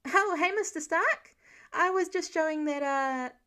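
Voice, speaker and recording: A woman's voice: extremely high pitch, measured pace, sharp tone, pitched above the performer's own voice, heavily acted. A woman voicing an awkward teenage boy superhero in a story reading, hesitant and trailing off. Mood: caught out, eager.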